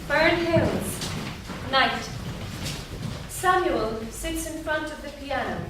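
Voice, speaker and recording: A woman's voice: high-pitched, lively intonation, takes it slowly. A woman narrating scripted stage directions at a staged reading. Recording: echoey theatre, distant mic, loud noise.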